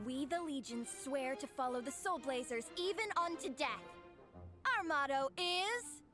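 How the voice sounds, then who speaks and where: An actress playing an earnest, excitable young comic book superfan in a video game, dramatic and gushing. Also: female voice, high voice, unhurried, music in the background. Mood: grandiose.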